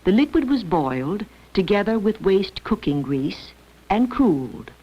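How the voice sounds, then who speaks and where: A woman's voice: medium pitch, sing-song, unhurried, warm tone, breathy edge. A woman reading scripted narration for an educational film. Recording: dull lo-fi old educational film.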